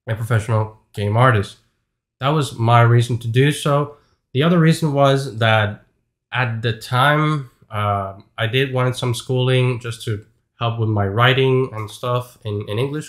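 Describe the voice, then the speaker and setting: Guy in his 30s talking to his webcam at home, earnest, keeps hammering his point, average pitch. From Venezuela. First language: Spanish. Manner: earnest, frank, repeats points for emphasis. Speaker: YouTube host, game artist. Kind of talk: casual advice talk to camera. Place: small home room, indoors.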